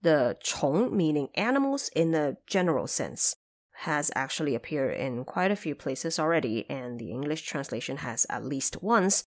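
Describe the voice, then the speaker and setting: Female explainer host, deep register, very animated melody, teacherly and precise. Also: normal speed. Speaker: host and translator. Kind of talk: scripted explanatory narration.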